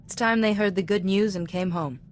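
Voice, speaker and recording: Calm, composed woman Jedi, played by an actress. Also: mid-range voice, a little hiss or hum, natural voice. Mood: reassuring, advising. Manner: measured, formal, reassuring. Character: calm, composed young woman Jedi Master, diplomatic and supportive. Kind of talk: cinematic video game voice acting.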